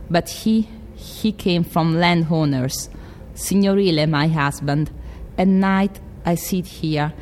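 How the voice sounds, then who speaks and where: A woman's voice: fairly low pitch, darkish tone, big-room sound, slight background noise. A woman with an Italian accent reading as a proud, fiercely devoted middle-aged widow in an impassioned monologue.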